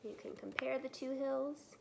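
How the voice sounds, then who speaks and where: A woman reading a children's storybook aloud expressively and deliberately, in a roomy, echoing space. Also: high voice, creaky, background noise. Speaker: storybook reader.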